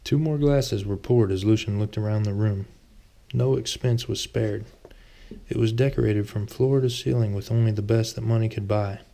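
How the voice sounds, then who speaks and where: A man narrates a story in audiobook style. His voice sits low, with plenty of gravelly fry and a soft, mellow tone. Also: moderate pace. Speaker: author reading his own novel.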